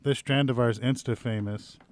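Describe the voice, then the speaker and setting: American dude co-hosting a radio show, chill and jokey, kinda halting, mid pitch voice. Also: male voice, steady tone. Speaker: radio co-host. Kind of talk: casual chat. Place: radio studio.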